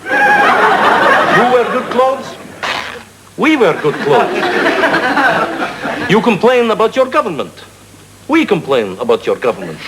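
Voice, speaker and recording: High-pitched, animated Soviet propagandist. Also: male voice. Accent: Russian. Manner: earnest, declarative, stilted phrasing. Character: cheerful, defensive Soviet citizen spouting propaganda. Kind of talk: comic monologue to a live audience. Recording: very noisy broadcast comedy recording.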